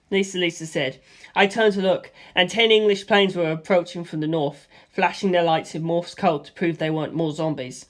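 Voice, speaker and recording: A man narrating a first-person action story as an amateur audiobook reader, in an animated style. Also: high voice.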